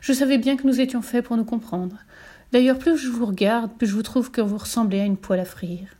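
Storybook talking potato, played by a woman: female, high voice, giggly and contrary, naive but sly. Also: steady tone, fairly quick, mellow, close to an everyday voice. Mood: gushing. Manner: exclaiming, questioning, giggly.

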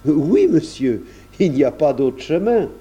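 Man as a courteous doorman, slightly high voice. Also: sing-song, measured pace, warm tone, breathy edge, dull audio, full character voice. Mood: matter-of-fact. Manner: formal and courteous. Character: polite, deferential doorman of a grand house.

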